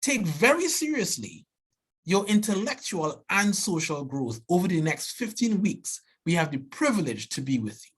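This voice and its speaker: A man in his forties from Trinidad and Tobago with a thick Trinidadian accent, giving an earnest, animated seminar talk as a biology professor, often restarting and tagging "right?". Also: high-pitched, normal speed, slightly rough.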